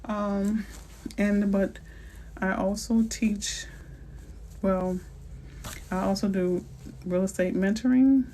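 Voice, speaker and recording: Middle-aged woman, New Orleans accent, chatty live-stream host, relaxed slang, faint background hiss, casual phone mic. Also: mid-range voice, takes it slowly, pauses often. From New Orleans, Louisiana. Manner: chatty and playful, with slang and asides. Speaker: realtor hosting a live Q&A. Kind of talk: casual live-stream chat answering viewers.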